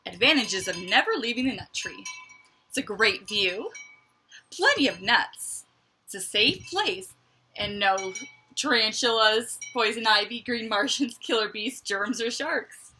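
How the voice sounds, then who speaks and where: A woman reading a picture book aloud to children like a teacher, with expressive emphasis and chatty asides. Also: on the high side, a little slow, a little hiss or hum, poor audio. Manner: expressive, dramatic emphasis, conversational asides to young listeners.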